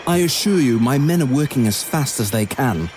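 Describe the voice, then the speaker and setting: A man's voice: medium pitch, lively intonation, moderate pace, a little mellow, slight background noise, natural voice. A man voicing an anxious, formal officer placating a superior in a cartoon space-opera parody. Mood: nervous assurance.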